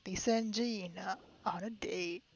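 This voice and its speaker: A woman's voice: medium pitch, fairly even intonation, measured pace, gravelly, highs cut off, slight background noise. A woman doing casual live commentary on a game, playful and full of wry asides. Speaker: game streamer. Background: faint game music.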